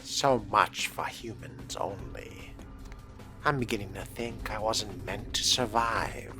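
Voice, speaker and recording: Slow, low, brooding fledgling vampire, played by a man. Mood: wry musing. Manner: deliberate, murmuring, formal wording. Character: young male fledgling vampire, brooding, menacing, calculating. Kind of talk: in-character game roleplay narration. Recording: music behind the voice.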